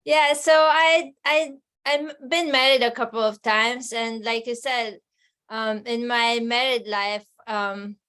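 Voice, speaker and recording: A woman with a Hungarian accent, a podcast guest talking casually in a soft, hesitant way with frequent restarts. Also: on the high side, fairly even intonation, takes it slowly, clear, resonant tone. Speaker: interview guest. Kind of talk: casual conversation.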